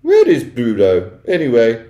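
Shrill, prim, haughty wife. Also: male voice, huge pitch swings, measured pace, dark tone, highs cut off. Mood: haughty, dismissive. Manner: prim, screeching, imperious. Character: prim, haughty, shrill middle-aged wife, easily horrified. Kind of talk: children's storybook character voice. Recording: echoey room.

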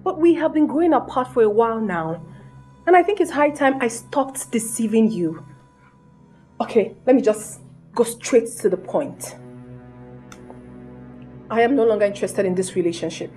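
A woman acts out a snobbish young Nigerian girlfriend in a comic melodrama. Her voice is high, and she is blunt and scornful, hammering each point with repetition. Faint music sits underneath. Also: expressive, moderate pace, long pauses, a little mellow, close to an everyday voice. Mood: firm, cold. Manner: emphatic, scolding, repetitive. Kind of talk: comedic melodrama acting.

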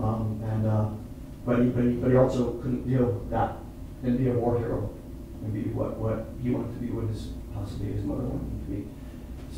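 A middle-aged man talks informally with an audience from a theatre stage. He explains in a halting, earnest way. The sound is very reverberant and picked up from far off, with clear noise behind. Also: mid-range voice. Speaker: playwright and performer at a post-show talkback. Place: indoor theatre stage, seated on the floor. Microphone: far camera microphone. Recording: distant echoey hall recording with noise.

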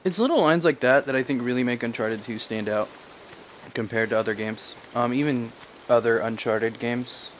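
Male streamer, mid-pitch, rambling analytical chat over game noise. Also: normal speed. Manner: chatty, digressive, thinking aloud. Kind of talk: casual commentary over gameplay.

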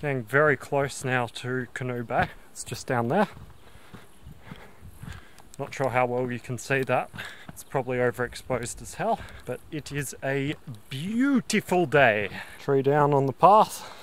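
A young man talking to a handheld camera on a bush hike, relaxed and enthusiastic about the scenery. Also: medium pitch, unhurried, slight background noise. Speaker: hiking vlogger. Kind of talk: casual vlog narration. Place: outdoors on a bush trail and beach. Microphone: handheld camera at arm's length.

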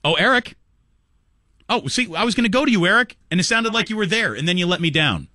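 A man's voice: high voice, very animated, quick pace, bright, forceful tone, dry recording, studio quality. A man hosting sports talk radio, commenting in a mocking, incredulous, jokey manner. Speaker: radio talk show host.

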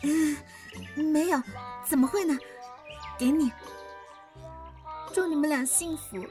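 A woman acting a high-voiced, crestfallen young landlady. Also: slow, darkish tone, faint music behind. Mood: dismayed. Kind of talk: audio drama acting.

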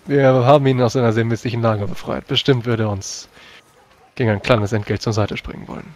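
Fantasy tabletop adventurer man acting, well-connected and practical, brisk talker, courteous and formal. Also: mid-range voice, steady tone, a little mellow, natural voice. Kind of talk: in-character tabletop roleplay.

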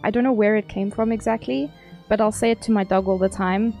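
A woman's voice: mid-range voice, fairly even intonation, brisk, a little mellow, soft background music. A young woman with a South African accent, chatting casually and teasingly with her viewers while streaming a game. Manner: chatty, playful, teasing chat.

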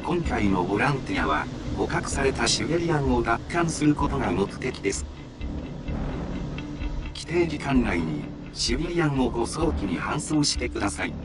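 A man performing an impassive male android aide from a video game. He delivers formal briefings in a polite, clinical way, with wide swings in pitch, sitting distant from the mic under very loud music. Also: big pitch swings, normal speed, natural voice. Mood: formal, detached. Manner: polite, clinical, detached announcing. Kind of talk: formal scripted mission briefing. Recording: loud game music and battle sounds behind. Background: loud music, vehicle noise.

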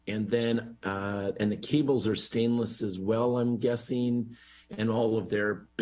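A man with a croaky, recovering voice asking polite, hesitant questions at a board meeting on a video call. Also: fairly low pitch, a little mellow. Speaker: board vice chair.

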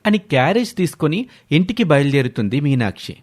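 A man's voice: fairly high pitch, big pitch swings, brisk. A man reading scripted narration as the narrator of a story.

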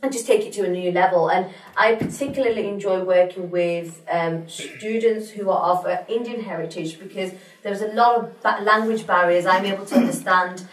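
A woman's voice: mid-range voice, moderate pace, few pauses. Chatty young woman, roomy studio sound. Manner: fluent, chatty, peppered with you know. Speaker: guest, teacher. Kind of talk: studio interview. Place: small studio in front of a pink backdrop. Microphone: desk mic on a stand. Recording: roomy sound from a distant mic.

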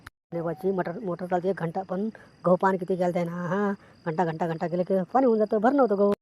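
A woman's voice: fairly low pitch, brisk, dark tone, close to an everyday voice, low-quality recording. A woman voicing a coaxing, nosy village man in an amateur cartoon comedy, insistent and repetitive, with a rural Maharashtrian accent. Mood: admiring, chatty. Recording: noticeable background noise.

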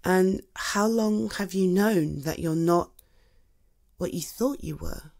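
A woman performs a supportive British friend in an intimate ASMR roleplay. Her voice sits a little low and moves very slowly. She speaks conversationally and haltingly, reassuring with a gentle, teasing touch. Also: gravelly. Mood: supportive, careful.

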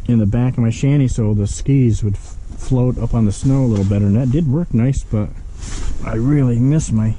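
A man's voice: mid-range voice, dark tone, slight background noise, low-quality recording. A middle-aged man rambling to himself in casual running commentary while ice fishing, recorded on a body-worn action camera inside a small shanty.

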